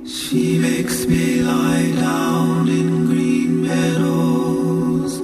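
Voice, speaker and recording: A woman's voice: high voice, lively intonation, very slow, mellow. A woman singing a psalm a cappella in an echoey vocal music recording. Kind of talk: slow a cappella sung psalm.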